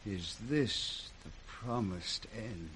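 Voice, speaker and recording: An actor playing a loyal, weary British earl in radio Shakespeare, grave, halting and sorrowful. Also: male voice, on the low side, lively intonation, a bit bright, full character voice. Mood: sorrowful devotion. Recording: noisy vintage radio recording.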